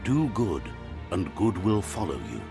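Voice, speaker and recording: Male game narrator, low voice, measured storybook delivery, relays characters' speech. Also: big pitch swings, music in the background.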